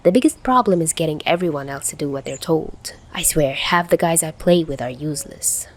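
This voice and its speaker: A woman voicing a cocky, teasing teenage schoolboy in an audio story, loud and animated. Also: low voice, fairly quick. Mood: heated rambling.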